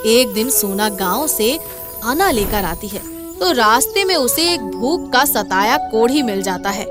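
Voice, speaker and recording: A woman's voice: on the high side, lively intonation, measured pace. A woman telling a dramatic scripted tale as its narrator. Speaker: storyteller. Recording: clear background music under voice.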